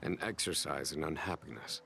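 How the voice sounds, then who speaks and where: A man voicing a calm, weary general in a video game, speaking in formal, measured, old-fashioned phrases. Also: rumbling low, gravelly, a little hiss or hum, full character voice. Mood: grave, measured. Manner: formal, measured, archaic diction. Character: calm, weary male general, courteous but calculating.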